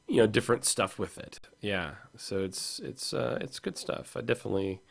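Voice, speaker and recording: A man with a slightly hoarse, rough voice, co-hosting a casual podcast chat about comics and rambling with fillers and restarts. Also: on the low side, sing-song, moderate pace. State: hoarse, losing his voice. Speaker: podcast co-host.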